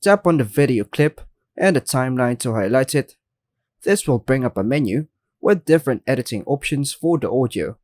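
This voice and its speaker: A man's voice: medium pitch, animated, normal speed, a little mellow, no echo. A man hosting a step-by-step tutorial, reading scripted instructions plainly in short segmented phrases. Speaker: tutorial host.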